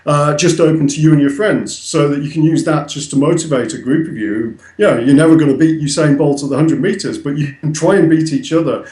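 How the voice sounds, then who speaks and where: A man's voice: on the high side, fairly quick, hardly any pauses, warm tone, echoey room. A young man with a Northern English accent, explaining his website in a casual, hesitant interview full of ums. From north of England. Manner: hesitant, many ums and restarts. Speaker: guest, website co-founder.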